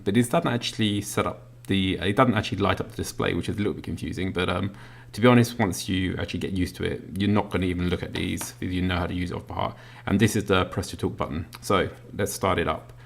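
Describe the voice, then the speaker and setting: A British man casually walking through an unboxing, talking as he handles the items with lots of ums and pauses. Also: sits low, vocal fry. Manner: relaxed, filler-heavy, talks while handling items. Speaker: product reviewer.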